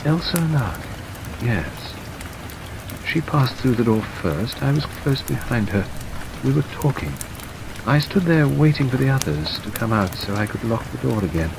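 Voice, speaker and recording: A man voicing a fussy, gentle older English gentleman who sounds flustered and hesitant, his voice jumping up high. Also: moderate pace, creaky. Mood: fretful. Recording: steady rain noise behind.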